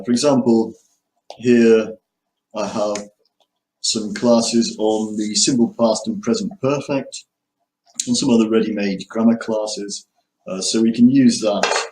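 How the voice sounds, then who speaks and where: A man from the UK introducing himself as an English teacher and walking through his lesson materials, measured, with frequent ums and restarts. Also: medium pitch, darkish tone, roomy sound, poor audio. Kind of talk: self-introduction and screen walkthrough.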